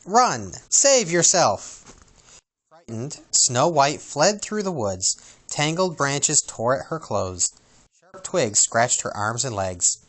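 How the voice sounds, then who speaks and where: Guy reading a kids storybook out loud, expressive, voice swings up and down a lot. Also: mid-range voice, takes it slowly. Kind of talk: reading a children's storybook aloud.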